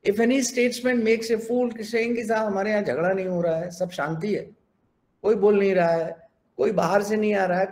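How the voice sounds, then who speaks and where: A man's voice: sits high, darkish tone. An older man with an Indian English accent, a native Hindi speaker, giving an emphatic keynote lecture over an online call and mixing Hindi into his English. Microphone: online video call.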